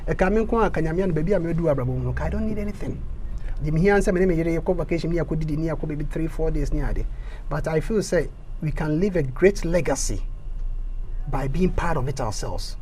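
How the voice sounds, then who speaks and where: A man with a Ghanaian accent, a council candidate giving an impassioned interview, stammering through repeats and rising into loud preaching bursts. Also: fairly high pitch, fast, slight background noise, rough recording.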